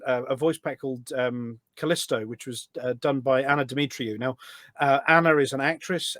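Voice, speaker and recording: A man's voice: mid-range voice, no echo, clean studio sound. A man chatting casually as a podcast guest, an enthusiastic storyteller who rambles along from one detail to the next. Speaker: podcast guest, game voice pack producer. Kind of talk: casual chat, anecdotal.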